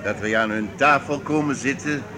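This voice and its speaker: An actor in a Dutch radio play playing a genial, wily troupe master who speaks in a smooth, theatrical way. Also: male voice, medium pitch, normal speed, background noise, close to an everyday voice. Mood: grand, courteous. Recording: radio play with crowd chatter behind.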